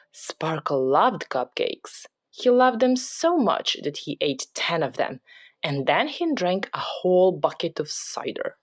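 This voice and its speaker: A woman reading a children's story aloud in an expressive, dramatic way, sometimes dropping toward a whisper for suspense. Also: low-pitched, dry recording, clean studio sound. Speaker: storybook reader.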